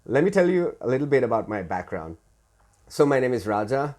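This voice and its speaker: A middle-aged man from Thailand and India hosting an informal show, telling personal stories in a halting way with repeated words. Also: mid-range voice, big pitch swings, a little mellow. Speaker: podcast host. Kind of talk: informal personal storytelling to listeners.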